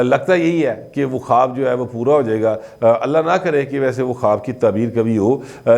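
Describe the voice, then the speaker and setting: Male political commentator, mid-pitched, Pakistani Punjabi accent, chatty and informal delivery. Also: darkish tone. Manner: conversational, slipping between Urdu and Punjabi.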